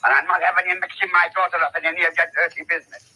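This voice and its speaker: Gruff, protective rustic father, old radio drama; hoarse-edged, blustering, very forceful. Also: on the high side, flat delivery, rapid-fire, heavily acted, poor audio. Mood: indignant. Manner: blustering, rustic uneducated speech. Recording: thin vintage radio recording.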